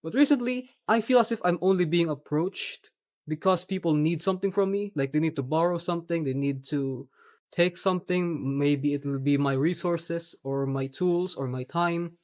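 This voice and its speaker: A young man from the Philippines with a Filipino accent, whose first language is Tagalog, talking earnestly in a personal vlog with measured, slightly formal phrasing. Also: a little high, a little mellow. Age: young adult. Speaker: vlogger. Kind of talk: personal reflective monologue.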